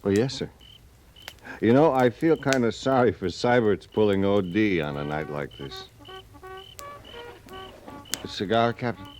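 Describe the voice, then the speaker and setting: A man's voice: fairly low pitch, lively intonation, a little slow, pauses often, a little mellow. A man acting an earnest, deferential, slightly naive junior cavalry officer in a 1950s radio western, polite and tentative. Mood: reflective, curious. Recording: vintage radio drama, music behind.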